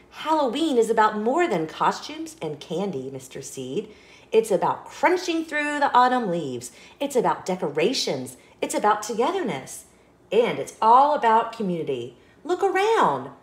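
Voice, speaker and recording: A woman's voice: on the high side, very animated, a little slow, roomy sound. A woman voicing a polite, wise, cheerful little pumpkin seed in a children's storybook, courteous and earnestly advising. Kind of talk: children's storybook read-aloud.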